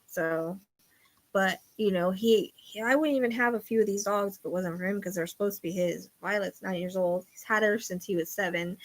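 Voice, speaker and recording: A middle-aged woman with a loud voice, chatting casually with online viewers as a psychic show host, rambling and confiding and trailing off quietly at the ends of thoughts. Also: mid-range voice, normal speed. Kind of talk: casual chat.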